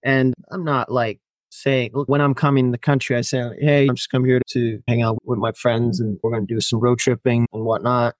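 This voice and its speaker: A man's voice: mid-range voice, flat delivery, fairly quick, dry recording, low-quality recording. A man from Finland, a native Finnish speaker, telling a long personal story on a podcast, acting out quoted lines and laughing often. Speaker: podcast guest. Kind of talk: casual storytelling interview.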